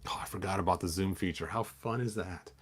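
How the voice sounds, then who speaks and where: A man's voice: low voice, brisk, breathy edge. A man hosting a toy collector channel, chatting in a rambling way with many fillers and restarts, then reading a picture book aloud.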